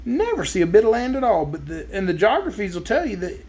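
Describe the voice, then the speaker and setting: A man's voice: high voice, sing-song, fast, dark tone, voice pushed up. A man voicing an earnest little girl in a storybook reading, in a matter-of-fact manner.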